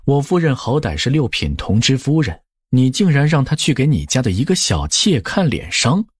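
一个男播讲人在有声书中扮演一位冷静有礼、护妻的年轻官员，说话有分寸又带锋芒。. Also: male voice, mid-range voice, breathy edge, no room sound, studio quality. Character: cool, protective, courteous young male official and husband. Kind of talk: audiobook character acting.

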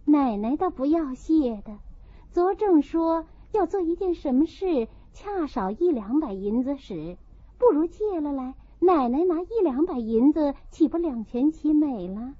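A woman reader voices a quick-witted young maidservant in an audiobook. She makes suggestions deferentially, in a high, lively voice. The recording is somewhat dull. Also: dry recording. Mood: sly, helpful.

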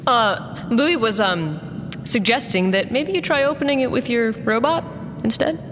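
A woman's voice: lively intonation, measured pace. An actress playing a tough, sardonic female mercenary in an audio drama, dry and assertive. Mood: wry. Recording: long reverb, faint rumble behind.

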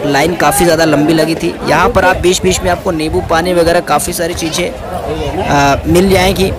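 A young man narrating a travel vlog while walking a crowded outdoor trail, chatty and often addressing viewers as guys, filmed at arm's length. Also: on the high side. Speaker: travel vlogger. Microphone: handheld camera or phone at arm's length. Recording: loud crowd and outdoor noise. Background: crowd chatter and outdoor noise.

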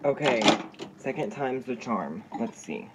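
A man's voice: mid-range voice, sing-song, measured pace. Young man, very noisy kitchen recording. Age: young adult. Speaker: vlogger. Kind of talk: casual cooking vlog chat. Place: home kitchen, indoors. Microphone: phone at arm's length. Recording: clattering dishes and clicks, noisy kitchen.

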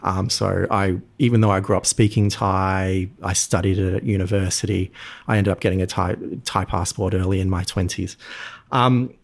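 A man's voice: low voice, steady tone, normal speed, gravelly. Man telling his story. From Australia. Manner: friendly, storytelling, frequent ums. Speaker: podcast guest. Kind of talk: casual interview talk.

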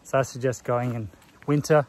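A man in his thirties giving relaxed trail commentary to a phone held at arm's length, outdoors on a forest hiking path. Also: mid-range voice, moderate pace. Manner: relaxed, informative, talking while walking. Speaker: hiking vlogger. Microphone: phone camera at arm's length.

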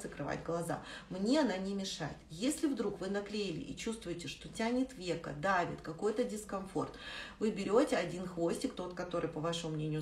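A woman's voice: lowish voice, slight background noise. A woman in her thirties teaching in a live tutorial, explaining step by step and often rephrasing as she demonstrates. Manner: instructive, demonstrating with frequent rephrasing. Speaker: face taping instructor. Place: small indoor room with plain wall. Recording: echoey bare room.

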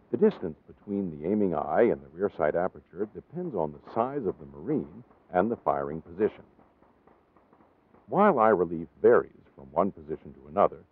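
A man with an American accent reading scripted training-film narration in a measured, formal, precisely enunciated way. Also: low voice, phone-quality sound.

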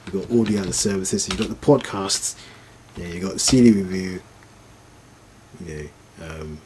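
A man's voice: deep voice, moderate pace, a little mellow, low-quality recording. A man giving a casual, unscripted product demonstration in a hesitant, mumbling way. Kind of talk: casual unscripted tutorial narration. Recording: faint background noise.

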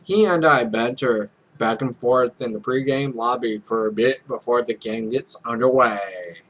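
Young adult male gamer, mid-range voice, slow delivery, irreverent and foul-mouthed, narrating a game casually. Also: mid-range voice, fairly bright tone, low-quality recording. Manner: irreverent, sweary. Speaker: let's play host. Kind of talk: let's play, reading game text aloud with casual asides.

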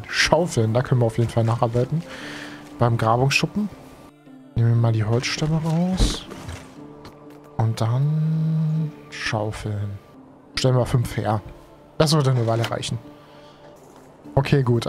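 Chatty young gaming streamer with a cold. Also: male voice, medium pitch. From Germany. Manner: chatty, slangy, thinks aloud with many fillers. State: has a cold and is tired. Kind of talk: casual let's play commentary. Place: home streaming room. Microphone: headset.

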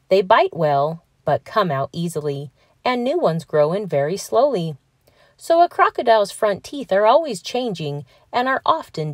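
A woman narrating a children's picture book aloud in a scripted read-aloud style, with clearly enunciated words and expressive emphasis. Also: lowish voice, dry recording, clean studio sound.

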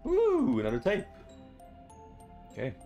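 A man's voice: high voice, wildly swooping pitch, unhurried, dark tone, soft background music. A young man giving casual, sweary game commentary, talking to himself as he reacts. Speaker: gaming YouTuber. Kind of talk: casual horror game let's play commentary. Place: home room, indoors.

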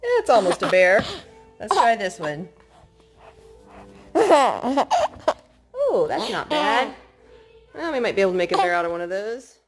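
A woman's voice: medium pitch, big pitch swings, measured pace, long pauses, faint music behind. Encouraging woman chatting through a kids' craft. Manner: encouraging, sing-song child-directed talk. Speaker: parent hosting a children's craft video. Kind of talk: casual chat with small children while narrating a craft. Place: indoors at home, wood-floored living room. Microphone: handheld phone filming.

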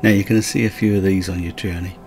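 Gravelly low-voiced man narrating a road trip. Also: fairly even intonation, quick pace, music in the background. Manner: light, chatty asides. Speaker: travel vlogger. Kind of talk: scripted travel narration.

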